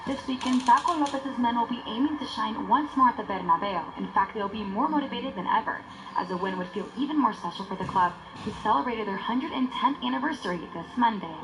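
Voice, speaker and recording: A woman's voice: on the high side, lively intonation, a little fast. A woman reading a scripted sports preview as a news reporter. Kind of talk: scripted match preview narration. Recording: clear background noise behind voice.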